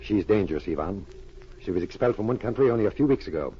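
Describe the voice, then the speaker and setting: An actor playing a stern, terse spy chief with a husky voice, giving orders in 1940s radio drama style. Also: male voice, fairly low pitch, expressive, fast. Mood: serious, commanding. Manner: authoritative, terse. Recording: dull old radio recording.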